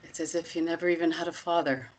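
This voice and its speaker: A woman playing an enigmatic, imperious cult leader in a screenplay read, speaking with slow, commanding deliberation. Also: fairly low pitch, fairly even intonation, a little hiss or hum, natural voice, rough recording. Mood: knowing, imperious. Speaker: actor in a screenplay table read.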